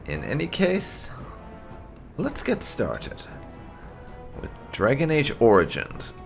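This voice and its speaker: A man hosting a gaming let's play, talking casually in deliberate, halting phrases. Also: medium pitch, huge pitch swings, gravelly, over music. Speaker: host of a gaming channel.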